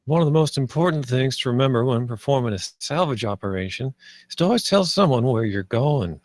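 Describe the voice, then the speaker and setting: A man's voice: mid-range voice, expressive, a little fast, a little mellow, no room sound, natural voice. Man playing an easygoing salvage worker, close and dry. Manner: casual, plain-spoken. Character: easygoing male salvage worker, teasing and plain-spoken. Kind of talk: table read of a film script.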